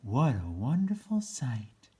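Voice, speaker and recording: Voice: mid-range voice, very animated, slow, warm tone. A storyteller reading a children's picture book aloud in a theatrical, playful way.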